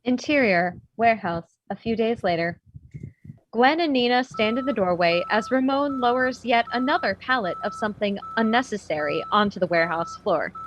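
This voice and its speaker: A woman's voice: on the high side, highs cut off, background noise. A woman reading stage directions as scripted narration at a comedy table read.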